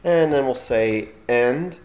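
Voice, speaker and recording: Male tutorial host, informal and halting, average pitch, thinks aloud while demonstrating. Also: darkish tone.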